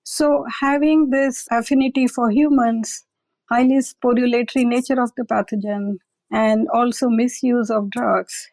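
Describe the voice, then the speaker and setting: Researcher, female, raspy-edged higher voice, careful explanatory interview manner. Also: darkish tone, dry recording, poor audio. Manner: careful, explanatory, repeats "I will say". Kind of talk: conversational expert interview.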